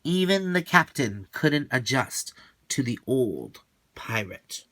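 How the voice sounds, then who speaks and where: A man narrates a children's storybook at a slow, unhurried pace. His reading is expressive and theatrical, stretching out words for emphasis. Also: medium pitch, no echo, rough recording.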